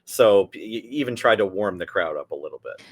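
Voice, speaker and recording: A man's voice: low-pitched, animated, brisk. A man co-hosting a podcast, chatting casually and acting out quotes with animation. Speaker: podcast co-host. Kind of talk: casual recap chat.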